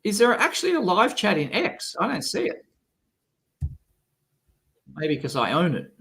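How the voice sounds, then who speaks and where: A man with a cold and a rough, sick-sounding voice, hosting a casual live stream and grumbling with exasperation. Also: high voice, wildly swooping pitch, unhurried, a bit bright. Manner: grumbling, exasperated, swears freely. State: has a cold, coughing. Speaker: electronics video host.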